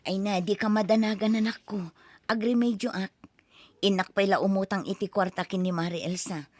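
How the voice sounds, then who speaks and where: A woman's voice: medium pitch, steady tone, a little fast, a little mellow, no echo, lightly acted. A woman voicing a worried middle-aged wife and mother in an audio drama, pleading and anxious. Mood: soothing. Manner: pleading, anxious, soothing to her son.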